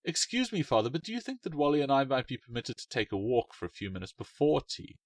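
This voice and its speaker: A man's voice: medium pitch, very animated, fast talker, no echo, recorded from a distance, pitched above the performer's own voice. A man voicing a polite, clever young girl who asks sweetly. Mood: coaxing. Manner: sweet, coaxing.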